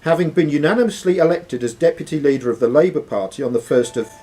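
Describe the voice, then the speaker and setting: A man's voice: on the high side, animated, fast, mellow. A man hosting a live quiz in a club room, reading the questions aloud and ruling on answers. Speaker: quiz host and question reader. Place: sports and social club room.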